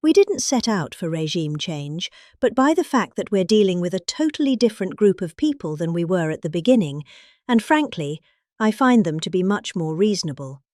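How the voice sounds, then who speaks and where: A middle-aged British woman reads aloud with pompous, deadpan gravity. Her pitch swoops up and down, and she leans on pointed pauses and heavy emphasis. The sound is close and dry, with no room around it. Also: no echo. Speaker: comic reader. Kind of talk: deadpan dramatic reading.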